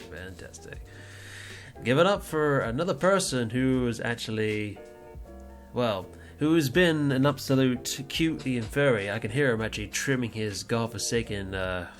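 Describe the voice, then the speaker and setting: Rambling man hosting a playful podcast. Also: lowish voice. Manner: rambling, playful, teasing introductions. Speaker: podcast host. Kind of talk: casual livestream podcast chat.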